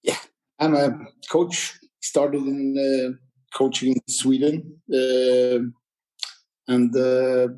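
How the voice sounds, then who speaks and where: A man from Croatia with a Croatian accent, a tired guest coach speaking haltingly on a video call. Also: medium pitch, level tone, warm tone, low-quality recording. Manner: halting, many fillers and restarts. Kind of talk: casual conversation.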